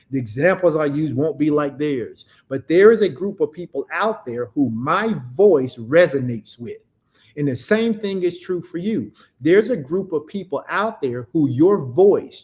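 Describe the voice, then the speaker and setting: Emphatic preacher man, fairly high voice. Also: darkish tone. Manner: emphatic, repetitive, asks and answers own questions. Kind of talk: motivational sermon.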